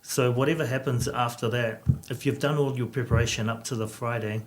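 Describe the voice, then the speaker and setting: A man, a Samoan speaker, giving calm, measured interview answers with many hesitations. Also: mid-range voice. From New Zealand. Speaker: rugby coach, guest.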